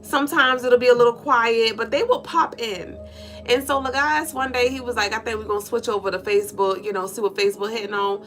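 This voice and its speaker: A woman co-hosting a casual livestream, congested and nasal, lively and playful as she greets viewers by name. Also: a little high, brisk. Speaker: livestream co-host.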